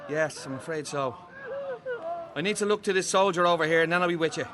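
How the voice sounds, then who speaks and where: A man playing a kindly Irish ambulance man in a radio drama, brisk and reassuring. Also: fairly high pitch, noisy background, close to an everyday voice.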